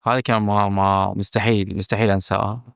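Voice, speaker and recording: A man's voice: lowish voice, level tone, quick pace, vocal fry, smooth, no room sound. Bahraini guy on a podcast, earnest, keeps saying he's being honest, lots of uhs, dry close sound. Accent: Bahraini Arabic. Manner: earnest and emphatic, stressing his frankness, with hesitant fillers and restarts. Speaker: guest, film director. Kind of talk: candid podcast interview.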